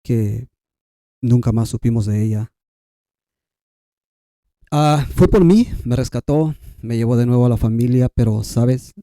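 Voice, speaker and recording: A Guatemalan man with K'iche' as his mother tongue tells his own story in a conversational way. His voice is very soft-edged and dark, at an average male pitch. The recording is dry and close. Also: measured pace, pauses often, no echo. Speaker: podcast guest.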